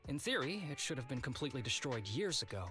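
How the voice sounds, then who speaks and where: A man's voice: mid-range voice, big pitch swings, fast talker, music in the background, lightly acted. A man voicing a laid-back, teasing young executive officer in a video game, languid and theatrical. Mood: serious.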